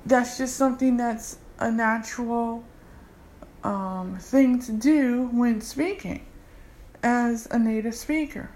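Woman tutor, slightly high voice, slow and casual explainer. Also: a little mellow. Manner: unhurried, explanatory, with fillers. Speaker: language tutor. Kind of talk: casual instructional talk.

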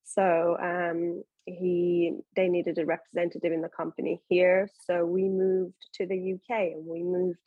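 A woman's voice: on the low side, little pitch movement, a little slow, hardly any pauses, breathy edge, no echo, narrow, tinny audio. A young woman from Iran, a podcast guest, chatting casually with many fillers and restarts. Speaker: guest, bikini competitor. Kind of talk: casual interview talk.

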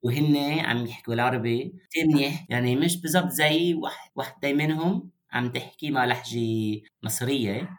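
Young man, Levantine learner accent, hesitant, mid-pitched voice, casual podcast chat. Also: fairly quick, hardly any pauses. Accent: mixed Jordanian and Lebanese Arabic, as a learner. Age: young adult. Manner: hesitant, searching for words, mixes in English. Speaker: podcast host, Arabic learner.

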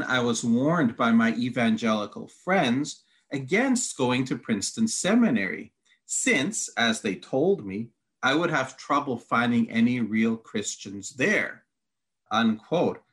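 A man's voice: medium pitch, animated, unhurried, a bit bright. A man whose first language is Hungarian, a Bible teacher reading long quotes aloud and laying out his answers point by point. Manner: methodical, reads long quotes, counts points. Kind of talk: teaching conversation.

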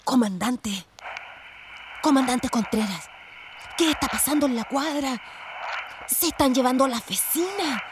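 A woman's voice: high voice, lively intonation, measured pace, long pauses, noisy background. An actress playing a harried, distracted ex police officer in a radio drama, flustered and curt, repeating her words. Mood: anxious, obedient. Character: harried, distracted, anxious woman, former police commander.

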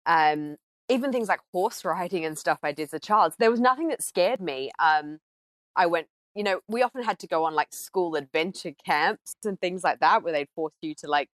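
A woman's voice: lowish voice, moderate pace, dry recording. A woman from Australia speaking as a podcast guest, telling personal stories in a conversational way.

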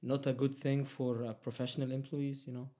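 A man's voice: medium pitch, level tone, brisk, dark tone. Hesitant Arabic-speaking man in casual talk. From Palestinian, raised in Egypt. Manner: hesitant, many fillers and restarts. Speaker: guest. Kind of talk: casual conversation.

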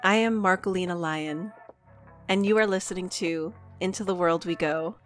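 A woman's voice: lowish voice, moderate pace, soft background music. A woman hosting a spiritual podcast, reading a scripted welcome and then chatting in a gushing, effusive way with casual swearing. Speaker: podcast host.

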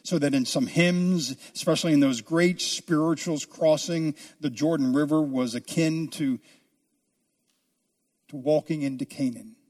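A man preaching an expository sermon as a pastor, speaking deliberately with drawn-out, emphatic phrases. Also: on the high side, darkish tone. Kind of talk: expository sermon, teaching a Bible book.

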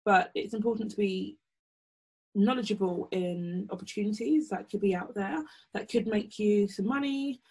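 A young woman with a croaky voice co-hosting a podcast, talking casually with many pauses. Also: medium pitch, rough recording. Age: young adult. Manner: relaxed, hesitant, many ums. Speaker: podcast co-host. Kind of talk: casual chat.

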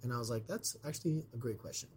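A man hosting a podcast in casual chat, his voice scratchy and a bit hoarse from being sick, talking in a rambling way with many fillers and restarts. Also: medium pitch, quick pace. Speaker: podcast host.

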